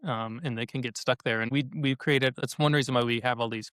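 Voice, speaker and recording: A man's voice: medium pitch, steady tone, brisk, fairly bright tone, clear, resonant tone, dry recording, studio quality. A man answering as a podcast guest in a conversational way, often acting out little quotes as he explains. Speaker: podcast guest, educational activity creator.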